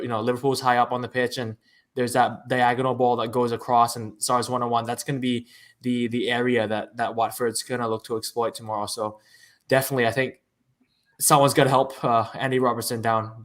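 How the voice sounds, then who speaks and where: A man's voice: medium pitch, flat delivery, a little fast, fairly bright tone. Hesitant man breaking down tactics. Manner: hesitant, many restarts. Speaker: podcast panelist. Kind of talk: football analysis chat.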